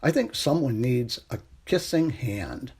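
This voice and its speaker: Man as a gentle, husky raccoon mother. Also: mid-range voice, animated, measured pace. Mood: warm, explaining. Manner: gentle, soothing, warm delivery. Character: motherly female raccoon, tender, reassuring parent. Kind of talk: children's storybook character voice.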